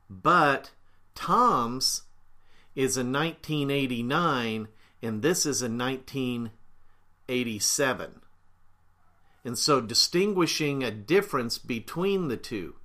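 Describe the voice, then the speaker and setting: Podcast host: middle-aged man, bright timbre, mildly stuffed up with a cold, unhurried and full of fillers. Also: mid-range voice, lively intonation. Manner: unhurried, with fillers and frequent throat-clearing. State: slightly congested, with a cold. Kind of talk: casual explanatory talk.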